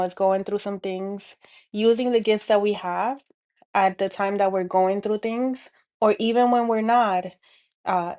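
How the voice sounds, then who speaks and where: Earnest woman with a Dominican accent. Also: medium pitch. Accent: Dominican Spanish. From Dominican Republic. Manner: earnest, searching, many fillers and restarts, soft laughs. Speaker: spiritual healing host. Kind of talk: reflective monologue to camera. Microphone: speaking to camera.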